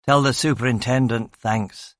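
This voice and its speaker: A man reading aloud and playing a gruff, worn-out inspector, clipped and sardonic. His voice sits low and plain, close to the narrator's own, with a dry, close sound. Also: steady tone, normal speed, no room sound, natural voice. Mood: curt. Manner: curt and sardonic. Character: gruff, weary male police inspector.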